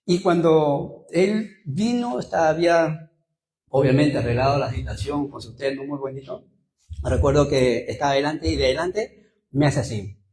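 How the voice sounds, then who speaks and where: A man's voice: a little high, normal speed, darkish tone, roomy sound, rough recording. A Peruvian man with a Peruvian Spanish accent preaching as a pastor, telling personal stories, repeating phrases for emphasis and asking rhetorical questions. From Peru. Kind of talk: sermon with personal anecdotes.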